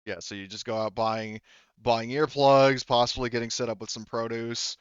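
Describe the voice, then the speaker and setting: A man's voice: mid-range voice, fairly even intonation, normal speed, clean tone, dry recording, dull audio. A man running a tabletop game as game master, narrating and chatting informally with joking, sweary asides. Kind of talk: casual game narration and chat.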